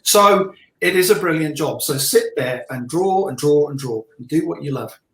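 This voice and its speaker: A middle-aged man talks in a casual, easygoing way with dry jokes at his own expense. His voice sits a little high, and the room adds clear echo. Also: lively intonation, normal speed, a bit bright. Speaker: illustrator guest. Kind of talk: casual online live chat. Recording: echoey room sound.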